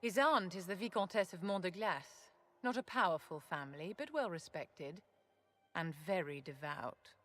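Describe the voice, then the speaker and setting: An actress playing a haughty, commanding aristocratic enchantress in a video game, speaking with measured, condescending politeness. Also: female voice, fairly low pitch, lively intonation, a bit bright. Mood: poised, gracious. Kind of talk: dramatic video game acting.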